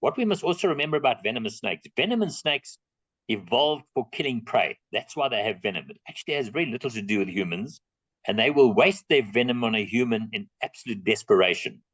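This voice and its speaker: A man's voice: medium pitch, animated, brisk, a bit bright, slightly rough, no room sound, poor audio. A middle-aged South African man answering audience questions in an online talk, plain-spoken and emphatic, repeating his key points. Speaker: guest speaker on reptiles.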